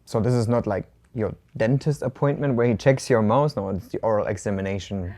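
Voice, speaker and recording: Low-voiced woman with slight German accent. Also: a little fast. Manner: thoughtful, translates back calmly. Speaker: co-host learner. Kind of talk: casual lesson dialogue.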